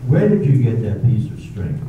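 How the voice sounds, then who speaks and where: A man's voice: on the low side, very animated, normal speed, slight background noise. A man playing a brooding, bitter husband. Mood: curt. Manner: clipped, bitter outbursts. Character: brooding wealthy husband, curt and haunted. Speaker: amateur radio drama actor. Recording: tinny, echoey and distant.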